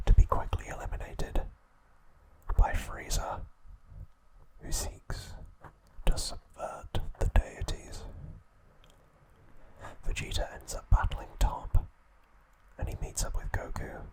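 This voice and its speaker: A man whispers throughout in an ASMR style, hushed and unvoiced. He narrates like a trivia host, taking his time and phrasing each piece deliberately, with pauses in between. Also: rough recording.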